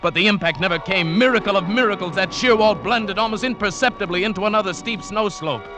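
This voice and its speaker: An actor playing an earnest, superstitious South American mountain guide telling his perilous adventure in a measured, dramatic 1940s radio style. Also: sits high, natural voice. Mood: tense, urgent. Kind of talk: 1940s-50s radio drama acting and narration. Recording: vintage radio recording with music.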